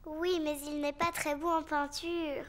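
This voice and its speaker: A woman voicing a lively, bossy little girl pig in a French-dubbed preschool cartoon. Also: high-pitched, a little slow. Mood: bossy, proud. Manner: eager, know-it-all, exclaiming. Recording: cartoon music behind the voice.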